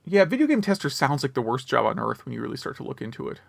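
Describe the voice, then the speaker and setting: Male podcast co-host, gravelly mid-range voice, casual, rambling, wry griping. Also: medium pitch, sing-song, fast, no echo. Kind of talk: casual chat about video games.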